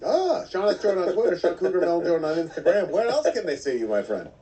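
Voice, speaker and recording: A man hosts a podcast and throws out sardonic, teasing quips. His voice sits a little high and leaps around wildly in pitch. He sounds a little distant from the mic. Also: sing-song, quick pace, dark tone. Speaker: podcast host. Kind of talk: casual podcast banter.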